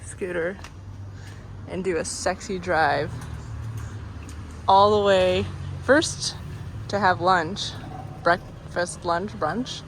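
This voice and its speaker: A woman's voice: fairly low pitch, very slow, pauses often, a little hiss or hum, rough recording. A woman chatting casually for a vlog while out on a scooter trip. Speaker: vlogger.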